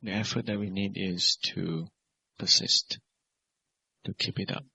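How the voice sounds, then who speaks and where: A man leads a guided meditation in a low, very husky voice with a gravelly creak. His phrasing is measured and simple, with gentle questions to the meditator. The recording is a little dull and lo-fi. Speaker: Buddhist monk and meditation teacher.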